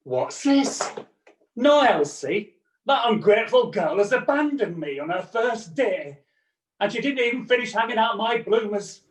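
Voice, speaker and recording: A man's voice: high voice, expressive, a little slow. A man playing an indignant, bossy pantomime dame with theatrical exclamations, in echoey, distant home audio. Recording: echoey, distant, hissy video-call audio.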